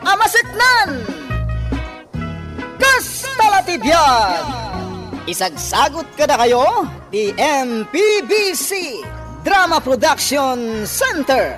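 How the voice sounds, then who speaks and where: A woman's voice: sits high, a bit bright. A woman announcing a radio drama programme in an emphatic, theatrical scripted style. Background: music.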